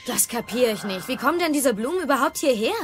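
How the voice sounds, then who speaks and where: High-voiced skeptical teenage girl played by a woman. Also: lively intonation, quick pace, soft background music, lightly acted. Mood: suspicious. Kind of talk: cartoon audio drama acting.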